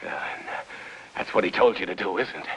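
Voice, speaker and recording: A man's voice: deep voice, expressive, fairly quick, slightly rough, rough recording. An actor playing a bitter, jealous, paranoid husband in a 1950s film noir, accusing and cutting as he speaks. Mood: agitated, bitter. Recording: hissy old 1950s film soundtrack.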